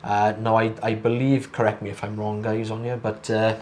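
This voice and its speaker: Brief: British male clinician, lowish croaky voice from a cold, halting delivery, faint background hiss. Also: flat delivery, darkish tone. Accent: British English. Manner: hesitant, halting phrasing. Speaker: ear wax removal clinician. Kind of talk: co-presenting a clinical video.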